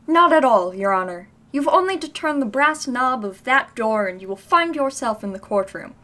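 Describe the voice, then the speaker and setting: Radio drama role: obliging female usher, deferential and eager, fairly high pitch. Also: lively intonation, moderate pace, sharp tone. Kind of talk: audio drama acting.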